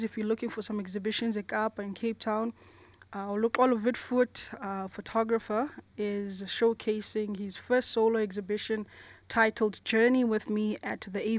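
A woman's voice: medium pitch, no room sound. Informal South African woman, dry close sound. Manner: informal, slangy, chummy. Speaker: podcast host. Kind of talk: casual podcast introduction and interview.

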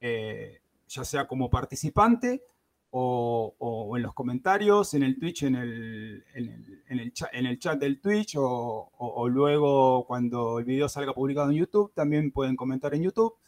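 A man's voice: medium pitch, lively intonation, measured pace, darkish tone. Hesitant man hosting a podcast. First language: Spanish. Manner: hesitant, many fillers and restarts. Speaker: podcast host. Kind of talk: casual podcast intro.

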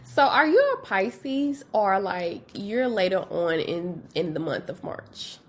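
A woman's voice: medium pitch, lively intonation, slow pace. A woman in her twenties hosting a podcast, talking casually in chatty, slangy speech. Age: 20s. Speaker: podcast host.

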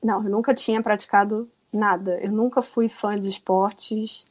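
A woman's voice: mid-range voice, steady tone, moderate pace. Carioca lady in her 40s, chatty guest, laughs a lot, pokes fun at herself. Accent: Carioca Brazilian Portuguese. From Rio de Janeiro, Brazil. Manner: chatty, laughs often, self-deprecating. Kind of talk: casual interview chat.

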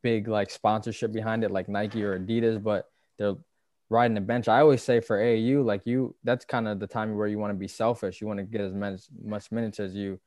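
A man hosts a basketball podcast in a dry, close sound, his voice sitting on the low side. He asks questions casually, stumbling over fillers as he goes. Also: flat delivery, darkish tone, dry recording. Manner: easygoing, halting with uh fillers. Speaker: podcast host. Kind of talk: casual interview chat.

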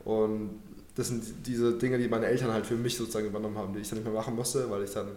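A man's voice: lowish voice, little pitch movement, fast, a little mellow, reverberant. A young man born in Germany, talking casually and earnestly in an interview, thinking aloud with loose slangy phrasing and many fillers. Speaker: guest.

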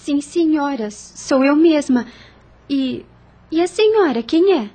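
High-voiced, meek young heiress. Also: female voice, lively intonation, slow, warm tone. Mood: polite, timid. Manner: deferential, formal, earnest. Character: polite, meek young orphaned heiress, earnest. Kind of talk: radio drama acting.

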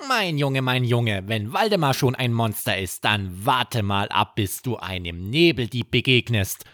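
A man's voice: medium pitch, expressive, measured pace, a bit bright, dry recording, studio quality. A man voicing a cheeky, worldly-wise toy rabbit in a children's story, teasing and humming between remarks. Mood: teasing, knowing.

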